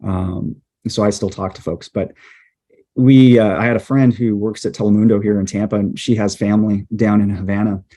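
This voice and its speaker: Guest, male storm chaser, low mellow voice, animated storytelling with lots of ums. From USA. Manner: animated, hesitant fillers, quickens at times. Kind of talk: casual interview storytelling.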